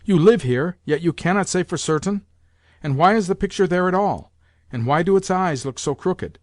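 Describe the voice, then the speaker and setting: Male reader doing a domineering old grandmother, high-pitched and sharp-tongued, barking orders in a dry, close recording. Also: expressive, normal speed, dry recording. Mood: imperious. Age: older. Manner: commanding and blunt, hurling insults. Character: imperious, scolding old Russian grandmother. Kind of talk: audiobook character voice.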